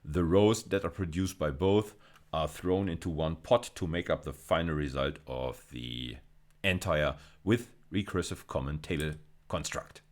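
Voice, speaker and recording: A man's voice: a bass voice, measured pace. A man giving an explanatory lecture, going step by step and repeating key phrases for emphasis. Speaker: university lecturer.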